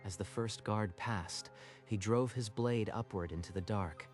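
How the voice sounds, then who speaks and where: Guy narrating a dramatic story, lowish voice, scripted, music playing under him. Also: a little slow. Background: background music.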